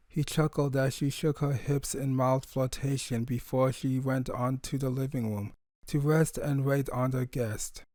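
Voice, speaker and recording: A man narrating a scripted romantic audio story in a measured storytelling manner. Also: on the high side, a little mellow, no echo.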